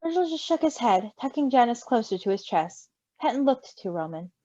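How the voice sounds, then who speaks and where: A woman reading fan fiction and chatting casually, with a giggly, expressive manner. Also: a little high, fairly quick, dry recording, dull audio. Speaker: story reader and co-reactor.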